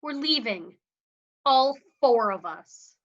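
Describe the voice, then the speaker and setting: A woman's voice: a little high, lively intonation, takes it slowly. Woman voicing defiant young patient Dottie. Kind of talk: livestream script reading. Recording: dull lo-fi audio.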